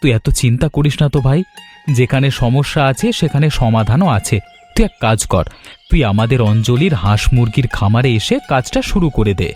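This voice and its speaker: A man's voice: medium pitch, animated, brisk, darkish tone, lightly acted. Man as supportive young village brother, swooping intonation, cartoon drama, light music underneath. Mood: reassuring. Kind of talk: cartoon voice acting.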